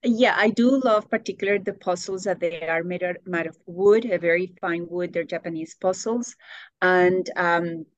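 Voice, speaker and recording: Woman in her sixties, low, dry. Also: normal speed, no room sound, dull audio. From Colombia. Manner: warm, searching for words, laughs often. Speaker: guest. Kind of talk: interview answers.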